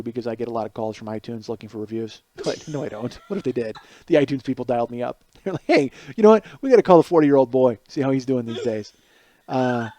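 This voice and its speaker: A man's voice: medium pitch, mellow, no room sound. A man in his forties with a lisp, hosting a podcast in a rambling, self-mocking comedic monologue. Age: 40s. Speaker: podcast host.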